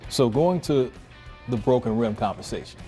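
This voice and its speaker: A man's voice: mid-range voice, expressive, moderate pace, warm tone, soft background music. A man asking questions conversationally as a sports interviewer.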